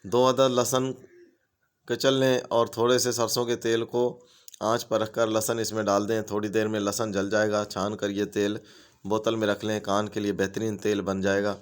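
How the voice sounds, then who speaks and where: A man's voice: lowish voice, little pitch movement, moderate pace, clear, resonant tone. A man, steadily reading out a list of home remedy tips as a channel host.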